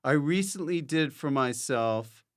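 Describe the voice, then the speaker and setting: A man's voice: a little high, animated, a little slow. A man hosting a casual comedic interview, tossing out wry, absurd quips. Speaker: podcast host.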